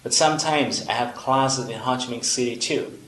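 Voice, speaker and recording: A man from Vietnam with a Vietnamese accent, a native Vietnamese speaker, teaching an English lesson in a deliberate, explanatory way with pauses between phrases. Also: mid-range voice, fairly bright tone, slight background noise. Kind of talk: instructional video lesson. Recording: roomy, echoing room sound.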